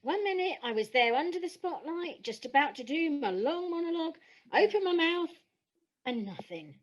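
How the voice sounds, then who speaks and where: An anxious young-sounding actress character, voiced by a woman. Her pitch is high. She speaks earnestly and with self-doubt, in the natural manner of an audio play. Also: sing-song, moderate pace. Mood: anxious, downcast. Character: anxious, earnest stage actress who uses a wheelchair.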